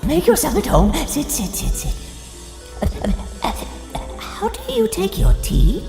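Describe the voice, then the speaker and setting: A lonely, coy gorgon hostess for a tabletop game. The voice is very high and creaky, hesitant and theatrical, full of gossip and giggles. It rings in an echoing hall over music. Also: female voice, huge pitch swings, measured pace, exaggerated voice. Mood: fussily hospitable. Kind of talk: improvised tabletop roleplay. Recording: echoey hall, music underneath.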